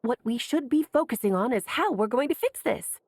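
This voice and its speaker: A woman's voice: on the high side, lively intonation, normal speed, a little mellow, no room sound, studio quality. A woman voicing a playful, self-assured female mage in a video game, breezy and genteel yet commanding. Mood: determined. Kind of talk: animated game dialogue.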